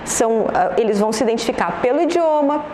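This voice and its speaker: A woman's voice: on the high side, animated, fast, mellow. A woman historian with a southern Brazilian accent from Rio Grande do Sul, explaining her research in an interview in a reflective way, posing questions and answering them herself. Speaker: historian and author being interviewed. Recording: echoey room with faint noise.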